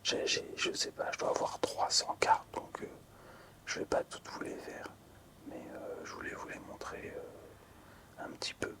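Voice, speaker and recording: A man whispering softly and hesitantly in an unhurried ASMR style, as a video creator showing something to viewers. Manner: soft, unhurried, hesitant with pauses.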